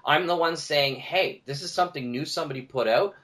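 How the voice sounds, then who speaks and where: A man with a somewhat high-pitched voice talks as a podcast guest. His delivery is conversational and animated, as in an informal discussion among producers. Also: a little fast.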